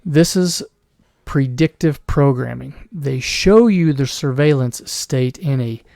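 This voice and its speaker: A man hosting a solo commentary podcast, losing his voice, rambling with restarts and clearing his throat. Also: medium pitch, slow, darkish tone, no echo, clean studio sound. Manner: mocking put-on voices in quoted asides. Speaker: podcast host.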